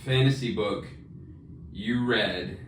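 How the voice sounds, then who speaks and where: A man's voice: on the low side, slow. A man co-hosting a podcast, chatting casually about books in a laid-back, slangy way. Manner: laid-back slangy, says "dude" and "bro". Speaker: podcast co-host. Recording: very noisy, echoey room, distant mic.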